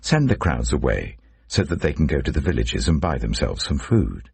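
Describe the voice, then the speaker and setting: An earnest, deferential follower of a holy teacher, acted out by a male narrator. The voice is very deep and husky, entreating in manner and expressive in pitch, in a dry scripture storytelling style. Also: normal speed, no echo, heavily acted. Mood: earnest concern.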